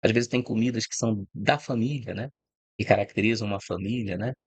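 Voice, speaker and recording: A middle-aged man from Brazil talks in a low, steady register, recorded close and dry with no room sound. He explains things patiently in a casual spoken style, tagging his points with quick check-ins to the listener. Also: husky, no echo. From Brazil, born in Rio de Janeiro. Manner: reflective, explanatory, frequent "né?" and "tá?" tags. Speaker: host of a channel about food and agribusiness. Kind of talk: informal spoken talk to camera.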